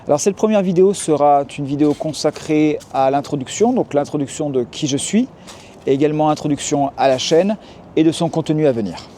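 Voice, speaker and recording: A man in his forties from France, calmly explaining things in a casual outdoor vlog, with frequent hesitations. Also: fairly high pitch, a little fast, slight background noise. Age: 40s. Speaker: YouTuber and tour guide. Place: city street outdoors.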